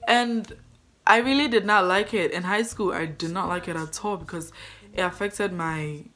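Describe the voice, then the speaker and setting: A young woman with a deep, low voice reading a daily devotion aloud, then reflecting on it earnestly in a casual way, filming herself up close in her bedroom. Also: moderate pace, fairly bright tone. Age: young adult. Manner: earnest, thoughtful, with fillers like "like". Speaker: devotional vlogger. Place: bedroom with a tapestry on the wall.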